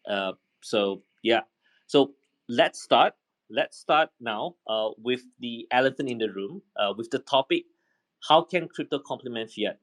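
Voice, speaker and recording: Low-voiced man hosting a casual panel. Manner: relaxed, chatty, many fillers and restarts. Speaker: host and moderator.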